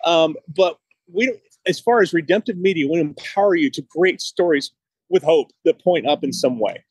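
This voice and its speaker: Chatty 50s guy podcasting off his phone, husky voice, kinda high. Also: normal speed, no room sound. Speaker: podcast host. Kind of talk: casual chat. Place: walking outside and into a studio building. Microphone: phone held at arm's length on a video call.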